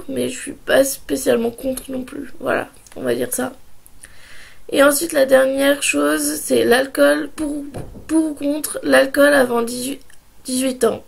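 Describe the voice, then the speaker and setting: A young woman speaking slowly into her camera, her high voice rough and husky from a heavy cold. Her tone is gravelly and full of fry, and she stops and restarts often as she shares her views. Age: 20s. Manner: hesitant, many pauses and restarts. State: hoarse, sore throat and cold. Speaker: vlogger. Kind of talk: casual opinion vlog.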